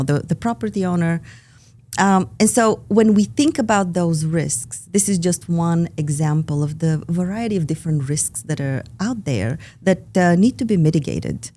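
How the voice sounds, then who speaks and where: A woman with a Bulgarian accent, a podcast guest talking in an earnest way with frequent pauses in a studio. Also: on the low side, mellow. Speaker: operations lead, guest. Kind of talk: podcast conversation. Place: recording studio.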